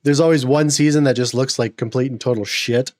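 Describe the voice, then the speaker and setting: A man hosting a casual podcast, getting over a cold so his voice is a little gravelly, opinionated and prone to stumbling restarts. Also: mid-range voice, fairly even intonation, brisk, darkish tone, no room sound, studio quality. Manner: opinionated, rambling with repeated restarts. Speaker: podcast host.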